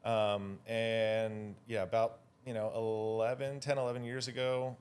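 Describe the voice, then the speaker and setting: A man's voice: lowish voice, little pitch movement, unhurried, a little mellow, clear, resonant tone. A Californian man chatting casually as an interview guest, with a laid-back, slangy way of talking. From Hawaii and California, United States. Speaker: guest, drone photographer.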